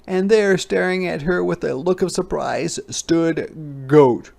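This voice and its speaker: A man reading a storybook aloud as a teacher, expressively, pausing to explain words simply for children. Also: on the high side, gravelly, darkish tone.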